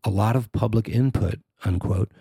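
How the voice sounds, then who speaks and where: Male reporter, scripted narration, husky and creaky, close dry sound. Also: on the low side, moderate pace, dark tone, no echo. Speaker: reporter narrating a news story.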